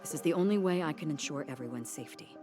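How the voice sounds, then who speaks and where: Brief: poised, polite fugitive, low-pitched, score audible underneath. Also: female voice, lively intonation. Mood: calm. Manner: polite, composed, measured. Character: calm, courteous woman on the run. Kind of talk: video game cutscene dialogue. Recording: game music behind the voice.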